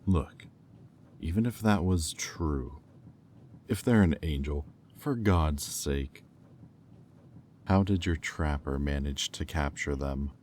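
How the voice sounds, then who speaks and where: A man playing a haughty, sardonic demon bidder in an audio roleplay, speaking with condescension and deliberate pauses. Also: very deep voice, a little mellow, slight background noise. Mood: skeptical, menacing.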